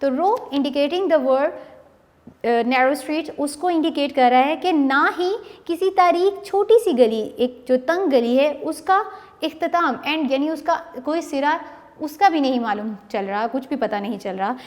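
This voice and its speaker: A Pakistani woman teacher giving an online English lesson, explaining a poem line by line in a mix of Urdu and English and reading the verses slowly. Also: high-pitched, big-room sound. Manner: slow, reads poem lines drawn out.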